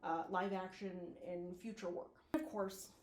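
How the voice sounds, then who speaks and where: A woman's voice: medium pitch, steady tone, a little slow, echoey room. A woman giving casual, slangy and effusive video commentary, like an online host chatting to viewers.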